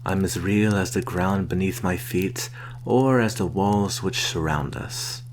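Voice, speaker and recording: A man playing a sly vampire posing as a traveling merchant, soft-spoken and coaxing in an ASMR roleplay. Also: deep voice, slight background noise. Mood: secretive, proud. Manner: soft-spoken, coaxing, deliberate with sighs and soft chuckles.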